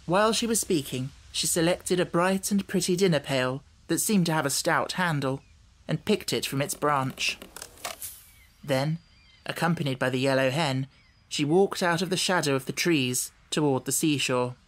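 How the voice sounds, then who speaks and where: A man reading scripted storybook narration as an audiobook narrator, measured and carefully articulated. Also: fairly high pitch, a bit bright.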